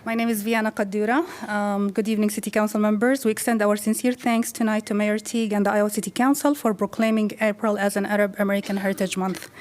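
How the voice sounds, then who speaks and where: A woman reading a formal prepared statement at the podium of a city council chamber, with measured delivery. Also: medium pitch, fairly even intonation, darkish tone. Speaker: community advocate.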